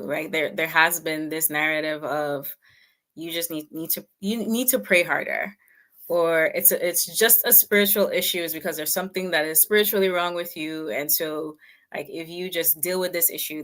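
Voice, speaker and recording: A woman's voice: sits low, moderate pace, fairly bright tone. A woman, answering reflectively as a guest author and counselor in a conversational interview, often repeating words as she explains. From Cameroon.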